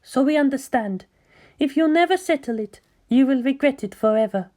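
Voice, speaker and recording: Young zealot follower, female, woman performer: high-pitched, measured, bitter and disdainful, for scripted audio fiction. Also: expressive, dark tone. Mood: devoted, assured. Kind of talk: scripted audio drama reading.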